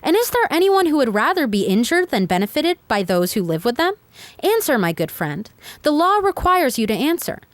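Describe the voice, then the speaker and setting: A woman's voice: high voice, big pitch swings, normal speed, no room sound, voice pushed up. A woman voicing an elderly male philosopher who argues his own defense in court, pressing his accuser with pointed rhetorical questions. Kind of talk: reading a dialogue aloud.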